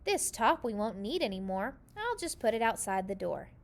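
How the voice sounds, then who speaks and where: A woman voicing a practical, tidy mother bear in a children's storybook, brisk and matter-of-fact. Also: medium pitch, very animated.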